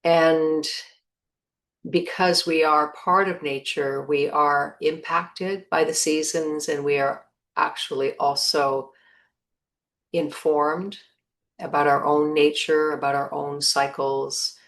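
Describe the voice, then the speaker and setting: Slow, low-voiced woman guiding meditation. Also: fairly even intonation. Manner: measured, pausing between short phrases. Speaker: meditation guide. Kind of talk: guided meditation.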